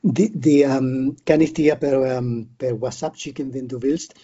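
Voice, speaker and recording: A man's voice: medium pitch, fairly even intonation, measured pace, warm tone, slightly rough, highs cut off. An older man, a non-native speaker with a foreign accent, giving a reflective interview and repeating words as he searches for phrasing. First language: not German; a non-native speaker of German. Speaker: podcast guest, veterinarian and hunter.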